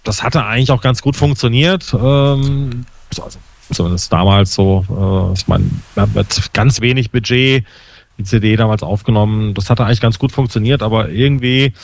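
A man's voice: mid-range voice, moderate pace, vocal fry, no echo. A man in his fifties from Hessen, a musician guest answering interview questions hesitantly, with many fillers. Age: 50s.

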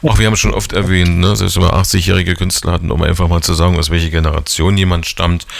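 A man's voice: low-pitched, level tone, fast, vocal fry, dry recording. A man in his forties hosting a conversational radio interview, putting probing questions to his guest. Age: 40s. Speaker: radio host interviewing a musician.

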